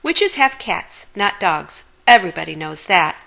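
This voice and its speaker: Storybook little girl, acted by a woman: plucky yet a bit nervous, bright voice, earnest delivery. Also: lowish voice, sing-song, a little slow, creaky, full character voice. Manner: exclaiming, sometimes hesitant and stammering. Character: plucky but nervous little girl trick-or-treating with dog.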